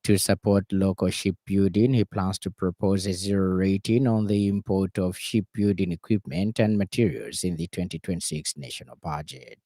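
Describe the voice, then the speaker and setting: A man's voice: sits low, fairly even intonation, normal speed, few pauses, mellow, no room sound, clean studio sound. A man with a Zambian accent reading a scripted radio news bulletin in a formal announcing manner. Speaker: news reader. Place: radio studio.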